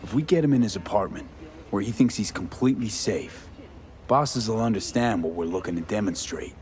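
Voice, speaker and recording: An actor playing a pragmatic, streetwise mercenary in a video game, with a terse, casual way of talking. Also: male voice, fairly low pitch, expressive, fairly quick, a little mellow, lightly acted. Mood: approving, curious. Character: pragmatic, streetwise male mercenary, seasoned fighter.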